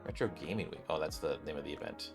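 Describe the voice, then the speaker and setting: A man doing casual, slangy gameplay commentary, thinking out loud as he plays. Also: a bass voice, quick pace. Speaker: gaming streamer. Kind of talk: casual gameplay commentary, reading dialogue aloud. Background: game music.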